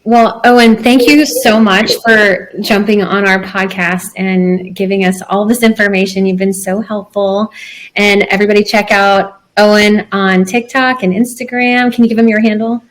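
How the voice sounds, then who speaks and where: A woman's voice: medium pitch, steady tone, normal speed. A woman hosting a podcast, thanking her guest in an upbeat, friendly way. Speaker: podcast host. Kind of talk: casual podcast sign-off.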